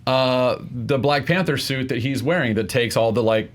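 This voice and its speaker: A man's voice: medium pitch, moderate pace. A man arguing informally as a contestant on a film debate show, in a hesitant delivery full of fillers. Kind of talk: informal argument about films.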